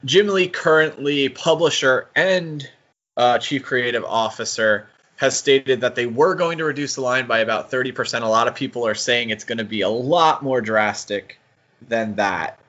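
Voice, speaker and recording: A man hosting a podcast in a casual discussion style, talking in a halting, conversational way. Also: medium pitch, a bit bright, dull audio. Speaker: podcast host.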